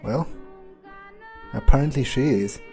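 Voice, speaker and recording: Jaded male vampire for a game monologue played by a man: low-pitched, mellow, sardonic, halting and foul-mouthed, music behind. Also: lightly acted. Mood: curious, dismissive. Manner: halting, muttering, profane, sardonic. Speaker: player of a role-playing video game. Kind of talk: in-character gameplay monologue, thinking aloud.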